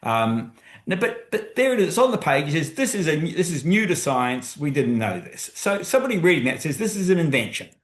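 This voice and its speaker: Australian man, thoughtful guest author, halting delivery, roomy video-call sound. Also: fairly high pitch, sing-song. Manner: thoughtful, hesitant with many ums. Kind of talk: webinar conversation.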